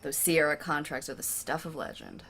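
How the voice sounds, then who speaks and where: A woman's voice: deep voice, sing-song, moderate pace, creaky, a bit bright, slightly rough, exaggerated voice. An actress playing a wry, guilt-burdened woman in an audio drama, with a conversational, probing delivery. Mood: wry, teasing. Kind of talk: naturalistic audio drama acting.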